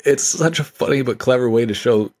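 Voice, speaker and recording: A man's voice: medium pitch, a little fast, darkish tone. A man co-hosting a casual film discussion, talking in an agreeable, enthusiastic, conversational way. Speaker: podcast co-host discussing films.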